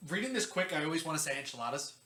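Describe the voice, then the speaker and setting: A man's voice: fairly high pitch, sing-song, racing, bright, forceful tone. A man hosting a podcast in a casual, joking, informal explainer style, stumbling over unfamiliar names. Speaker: podcast host. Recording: roomy echo, faint background noise.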